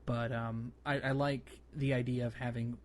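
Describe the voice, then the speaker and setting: A man hosting a gaming podcast, chatting casually in a halting, rambling way. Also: medium pitch, flat delivery, slight background noise. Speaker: podcast host.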